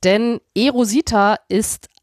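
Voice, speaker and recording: A woman's voice: on the high side, slow pace, no room sound. Woman with a cold, enthusiastic podcast co-host who explains things and laughs, dry close sound. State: has a cold. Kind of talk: casual science podcast storytelling.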